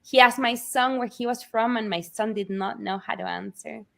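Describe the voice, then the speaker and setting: A woman from Argentina, telling the story of her book as an interview guest with animated, earnest warmth. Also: on the high side, moderate pace, vocal fry. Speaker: author guest. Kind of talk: conversational interview.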